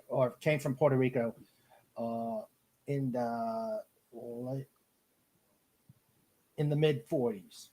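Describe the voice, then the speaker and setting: An American man hosts a casual podcast chat at an unhurried pace. His voice is husky, and he speaks haltingly, often stopping to search for the right word. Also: medium pitch, poor audio. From Cleveland, Ohio, United States. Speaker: podcast host. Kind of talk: casual live chat, reading out listener comments.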